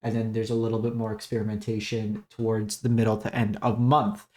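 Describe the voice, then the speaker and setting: A man hosting a casual game strategy breakdown, his voice raspy as he is losing it, talking in a conversational way with many fillers. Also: fairly low pitch, little pitch movement, a little fast, darkish tone. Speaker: host. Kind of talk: casual gaming commentary.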